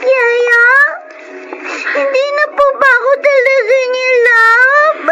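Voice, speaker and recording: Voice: very high-pitched, steady tone, slow. A performer voicing a sad, plaintive young girl longing for her father's love in a Tagalog radio drama. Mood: tearful, hurt. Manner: plaintive, tearful, childlike. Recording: dull lo-fi audio under loud music.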